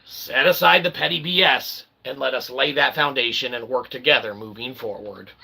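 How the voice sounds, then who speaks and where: A man hosting his own channel in a rambling solo monologue, with raspy, mock-formal, wordy phrasing mixed with slang and frequent check-in tags. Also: mid-range voice, moderate pace, noisy background. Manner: repeatedly tags phrases with "okay" and "all right". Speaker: channel host.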